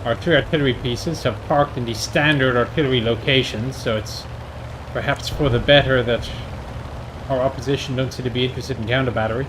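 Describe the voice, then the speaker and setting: Guy streaming a tank game, dry casual commentary, average pitch, engine and battle noise behind him. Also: steady tone, fairly quick. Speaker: host of a video game stream. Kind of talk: casual live gaming commentary. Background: video game tank engine and battle sounds.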